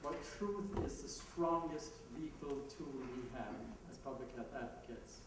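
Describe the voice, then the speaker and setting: A man's voice: medium pitch, moderate pace. A man with a Jamaican accent giving a conversational talk at a conference, laughing at his own asides. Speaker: conference speaker. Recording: echoey hall, mic heard from a distance, background noise.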